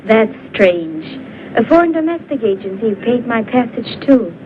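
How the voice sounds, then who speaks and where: An actress playing a hopeful, chatty young domestic servant in a 1960s B-movie. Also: female voice, on the high side, very animated, moderate pace. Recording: muffled noisy old film soundtrack, vehicle sounds.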